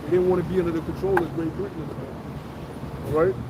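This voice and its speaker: A man's voice: a little high, steady tone, a little fast. A man giving a heated, profane religious teaching outdoors, talking over the others. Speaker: teacher of a street study group. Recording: loud outdoor wind and traffic noise.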